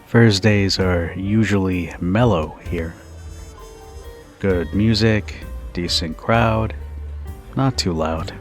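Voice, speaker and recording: A man playing a confident, protective bartender in an ASMR roleplay, teasing and flirty in an intimate, unhurried manner. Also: sits low. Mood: playful, flirty. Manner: teasing, unhurried, with soft chuckles and pauses. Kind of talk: ASMR roleplay, intimate second-person monologue.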